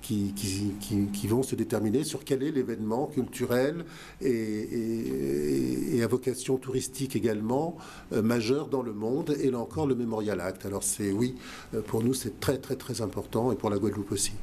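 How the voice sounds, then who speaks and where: A man's voice: mid-range voice, normal speed, vocal fry, dark tone, slight background noise. A man guest on a talk show, speaking earnestly with many fillers and restarts. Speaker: guest, museum director. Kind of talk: radio talk show interview.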